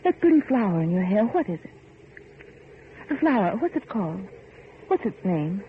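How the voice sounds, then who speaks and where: Idealistic factory heir, very high pitch, pleading and commanding, theatrical old radio drama, faint hiss. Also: male voice, very animated, normal speed, phone-quality sound. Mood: earnest coaxing. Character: idealistic, insistent factory heir, urgent and earnest. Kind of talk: 1940s radio horror acting.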